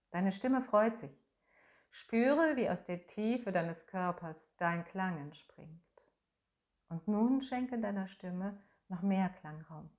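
A woman leading a guided voice and breathing meditation in a slow, soft, soothing way, humming tones to demonstrate. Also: mid-range voice, highs cut off. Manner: slow, soft, soothing instructions with long pauses; hums and sings sustained tones as demonstration.

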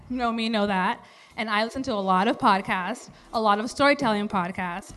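A woman's voice: on the high side, bright, forceful tone. A woman hosting a live storytelling show, introducing it to the audience.

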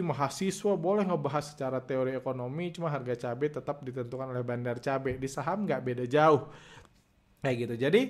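A man with a hoarse voice from a heavy cold, hosting a stock trading podcast in a halting, casual way with many filler sounds. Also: mid-range voice. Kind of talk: casual market commentary.

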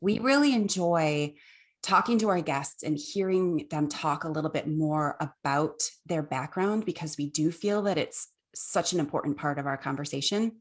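A woman hosting a podcast interview, asking her guest questions in a warm, measured way. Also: deep voice, slightly rough. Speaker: podcast host.

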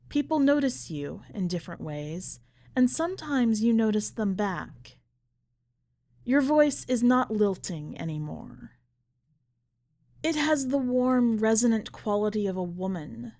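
Woman, scripted story narration, very slow pace, deliberate phrasing, medium pitch. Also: a little mellow. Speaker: podcast editor reading a short story.

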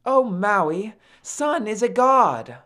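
A goddess mother from a storybook, acted by a woman. Her voice is slow and widely swooping, expressive and cautious as she counsels. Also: mid-range voice, heavily acted. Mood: cautioning. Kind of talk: storybook character acting.

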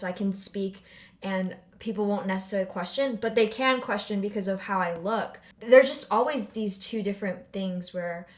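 A young woman from California hosting a casual interview, sharing her own experiences at length before asking a reflective question. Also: mid-range voice. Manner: reflective, asks long personal questions. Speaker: host.

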